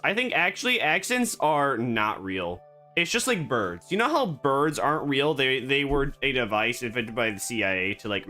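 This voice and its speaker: A man streaming a game, rambling and joking in absurd deadpan banter. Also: mid-range voice. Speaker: VTuber streamer. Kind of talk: casual gaming stream chatter.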